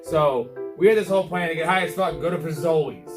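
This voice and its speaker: Guy podcast host, kinda high voice, sweary slangy storytelling, music playing behind. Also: quick pace. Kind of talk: casual chat and storytelling.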